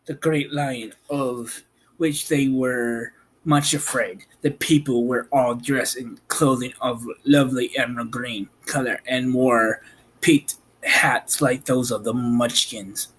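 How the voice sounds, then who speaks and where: A man narrating a storybook in an amateur, somewhat halting way. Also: mid-range voice, steady tone, a bit bright, breathy edge, poor audio. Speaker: host reading an audiobook.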